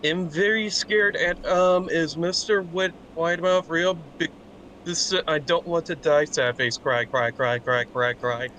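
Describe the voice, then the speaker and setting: A man's voice: fairly high pitch, a little slow, a crowd in the background. A man taking part casually in a stream, chatting and reading online comments aloud.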